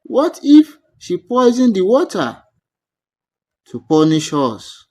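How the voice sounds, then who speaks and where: A man's voice: high voice, huge pitch swings, slow pace, mellow, exaggerated voice. A man voicing a suspicious village elder in a folktale, sneering and accusing. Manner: sneering, challenging.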